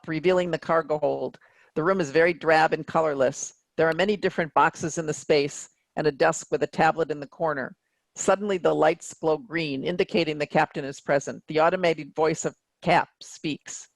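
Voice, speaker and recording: A woman's voice: low voice, vocal fry, no room sound, rough recording. A woman reading scripted stage directions as narration for a play.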